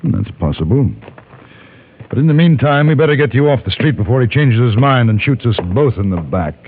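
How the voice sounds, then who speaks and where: An actor playing a courteous, steadfast gentleman traveler in a radio western, formal and measured. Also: mid-range voice, animated, natural voice. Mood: courteous concern. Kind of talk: old-time radio western acting and narration. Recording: narrow, noisy vintage radio recording.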